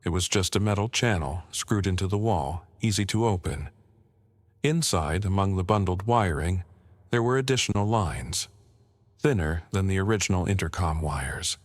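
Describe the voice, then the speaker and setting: Low-voiced man narrating a horror story. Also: lively intonation, normal speed. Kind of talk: scripted narration of a first-person creepypasta.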